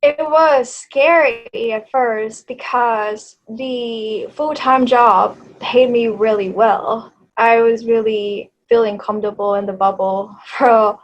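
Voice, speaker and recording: A woman in her thirties from Thailand, a native Thai speaker, talking as an interview guest in a hesitant way, with many fillers and soft laughs. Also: a little high, fairly even intonation, slow, hardly any pauses, dull audio. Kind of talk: casual interview chat.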